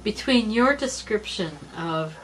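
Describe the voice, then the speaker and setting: A woman's voice: medium pitch, expressive, a little slow, slight background noise, poor audio. Effusive middle-aged woman interviewer. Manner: effusive, enthusiastic, laughs often. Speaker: interviewer, extension director. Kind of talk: casual interview. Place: small cluttered office, indoors.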